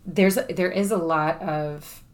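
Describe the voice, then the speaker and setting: A woman's voice: lowish voice, measured pace. A woman, a guest, chatting casually about spiritual practice with a voice that carries, filling her thoughts with ums and restarts. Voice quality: projecting voice. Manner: chatty, thinks aloud with many fillers. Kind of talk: casual conversation.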